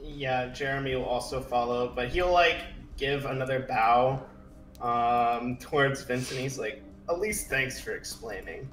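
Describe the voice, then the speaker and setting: A man's voice: mid-range voice, a little slow, a bit bright. Echoey man casually narrating roleplay. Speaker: roleplay player.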